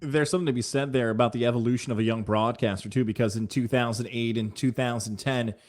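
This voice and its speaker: A man's voice: mid-range voice, steady tone, quick pace. An American man interviewing a guest conversationally as host, with talkative, long lead-ins to his questions.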